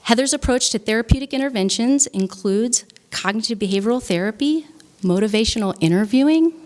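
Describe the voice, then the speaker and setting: A woman's voice: a little high, lively intonation, fairly quick, a little mellow. A woman hosting a live training event, welcoming the audience and reading a speaker's biography aloud in an orderly way. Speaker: event host introducing speaker. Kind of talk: formal introduction at a training event. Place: conference room with live audience.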